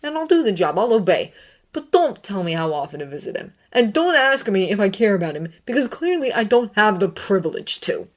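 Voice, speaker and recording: A woman's voice: lowish voice, lively intonation, slow pace, long pauses. A woman voicing an anguished, bitter grown man, a brooding teacher, with intense pleading outbursts.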